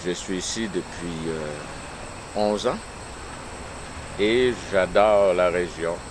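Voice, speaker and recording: A man giving an unscripted personal statement on his political views, with halting, deliberate phrasing. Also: sits low. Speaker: local resident giving a personal testimonial. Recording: clear outdoor nature noise, insects.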